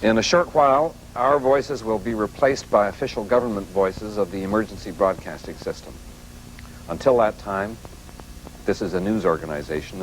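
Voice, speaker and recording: An actor as a male network anchor in a 1980s crisis drama, with a very low voice. He speaks solemnly and haltingly, weary but composed, over noisy old broadcast audio. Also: natural voice. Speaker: TV news anchor. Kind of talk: 1980s TV breaking-news anchoring.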